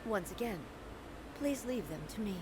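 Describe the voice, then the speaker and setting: A woman's voice: mid-range voice, animated, moderate pace, natural voice, rough recording. A woman playing a solemn, composed warrior ruler in a video game, speaking in a formal, dignified and resolute way. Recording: music behind the voice.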